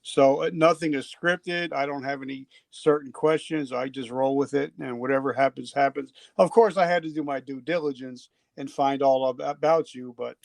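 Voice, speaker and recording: A man's voice: mid-range voice, fairly even intonation, normal speed, creaky, few pauses, no room sound. An older man from New Jersey hosting an unscripted podcast, chatting in a casual, rambling, jokey way. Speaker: podcast host.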